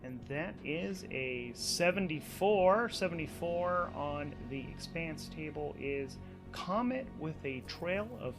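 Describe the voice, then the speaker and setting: A man with a fairly high-pitched voice narrates a solo tabletop game as its game master. He talks his way through it conversationally and with animation, thinking out loud. Music plays behind his voice. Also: expressive, slow pace. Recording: background music under the voice.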